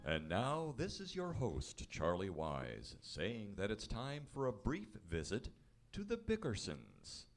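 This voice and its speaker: Guy announcing an old radio comedy, lowish voice, mock serious, swoops around a lot. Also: huge pitch swings, slow, over music. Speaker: announcer and host. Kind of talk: old-time radio show introduction, scripted narration.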